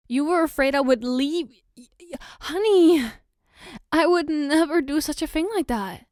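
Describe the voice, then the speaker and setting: A woman acts out a loving girlfriend speaking one-on-one in an ASMR roleplay. Her voice is high and takes its time, recorded dry and intimate. She is tender and reassuring, with a playful, coaxing tease. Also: dry recording. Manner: tender, coaxing, soft giggles between phrases.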